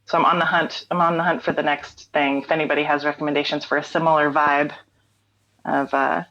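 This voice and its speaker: Low-voiced woman, relaxed and laughing. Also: brisk, lo-fi sound. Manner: relaxed, laughs often, many fillers. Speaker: interview guest, writer and songwriter. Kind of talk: casual interview chat.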